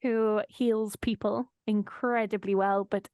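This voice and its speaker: A woman's voice: mid-range voice, flat delivery, slow pace, darkish tone, dry recording. Chatty, giggly woman hosting, dry sound. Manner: informal, giggly, freely swearing. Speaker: host of an audio drama behind-the-scenes chat. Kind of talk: casual chat.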